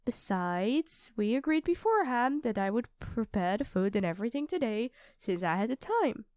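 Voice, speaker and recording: Woman acting as high-voiced, affectionate, teasing girlfriend. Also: moderate pace, no echo, studio quality. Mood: affectionate, chatty. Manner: playful, doting, teasing, expressive swings. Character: affectionate, teasing young live-in girlfriend cooking dinner. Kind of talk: ASMR roleplay, audio drama spoken to the listener as partner.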